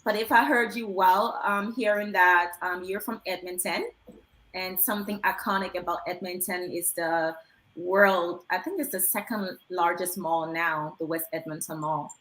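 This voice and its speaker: A woman's voice: fairly low pitch, fairly even intonation, moderate pace, fairly bright tone, echoey room, poor audio. A woman moderating an online panel, asking guests polite questions over a video call, with frequent fillers.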